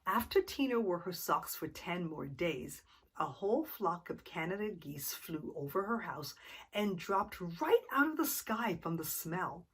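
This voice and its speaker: A woman's voice: fairly low pitch, big pitch swings, measured pace, breathy edge. A woman reading a children's story aloud expressively, as if to young listeners. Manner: asks the young listeners questions. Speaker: storytime reader.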